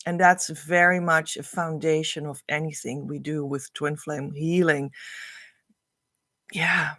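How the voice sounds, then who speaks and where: A Dutch-accented woman speaking English as a second language gives a spiritual teaching talk, her voice sitting slightly low for a woman. She circles her points with repeated phrases and lets sentences trail off softly. The recording is close and dry, with no room sound. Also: steady tone, slow, dry recording. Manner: earnest, repetitive, flowing phrasing with soft trailing endings. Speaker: spiritual coach.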